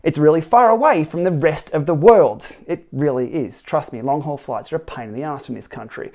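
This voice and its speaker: A man's voice: a little high, brisk. A middle-aged Australian man in a small home studio, earnestly and wryly explaining his side of a dispute in a partly read, scripted to-camera talk. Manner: earnest and wry, lively emphatic delivery with dry asides. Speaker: cycling tech YouTuber. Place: small home studio with city backdrop, indoors.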